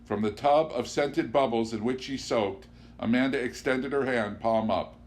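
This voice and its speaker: A man reading a literary novel aloud in an expressive storytelling style. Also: medium pitch, moderate pace. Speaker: audiobook reader. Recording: clear background noise with hum.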